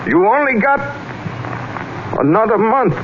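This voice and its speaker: An actor playing a henpecked, anxious immigrant husband, hesitant and pleading, in old-time radio drama style. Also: male voice, sits high, very animated, measured pace. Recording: muffled, noisy old radio recording.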